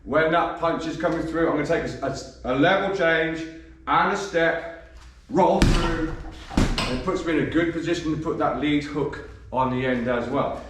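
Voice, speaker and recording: A man, a bit croaky from a cold, coaching boxing and counting punches as he demonstrates. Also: on the high side, normal speed, background noise. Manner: demonstrates moves while talking, counting punches. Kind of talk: instructional demonstration. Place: gym. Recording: echoey gym, voice from a distance.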